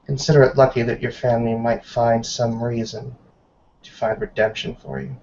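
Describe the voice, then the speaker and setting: A man voicing a stern, commanding old woman, a tribe elder, in formal measured speech for a tabletop roleplay. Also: medium pitch, flat delivery, vocal fry, a little mellow, distant mic, a little hiss or hum, natural voice. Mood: stern contempt. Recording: dull, lo-fi online call.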